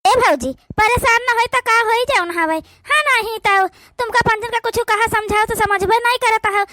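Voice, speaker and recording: A very high, closely recorded voice with an Awadhi accent opens up this meddling young sister-in-law played by a woman. She is a gossipy cartoon schemer who presses her point insistently to stir up family quarrels. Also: normal speed, pushed and bright, no room sound, studio quality. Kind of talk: village cartoon dialogue.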